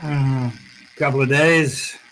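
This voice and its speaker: A man's voice: mid-range voice, fairly even intonation, takes it slowly, fairly bright tone, slight background noise, poor audio. A man playing a tough, wry private eye in a radio noir drama, terse and slangy, both narrating and in dialogue. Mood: wry, wary. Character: wry, tough, hard-boiled male private detective.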